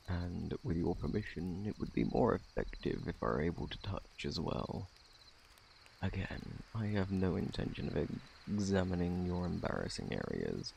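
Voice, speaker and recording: A man with a British accent playing a courteous, scholarly plague doctor in a soft-spoken ASMR roleplay, formal and gently reassuring. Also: a little hiss or hum, exaggerated voice. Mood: gentle, attentive. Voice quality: very deep, creaky, hushed. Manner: formal, gentle, reassuring, old-fashioned wording. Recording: faint night insect ambience.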